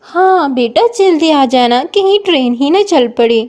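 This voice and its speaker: A woman's voice: sits high, animated, measured pace, darkish tone, pitched above the performer's own voice. A woman voicing a caring, dutiful village wife and mother in a moral story cartoon, with an obliging, motherly manner.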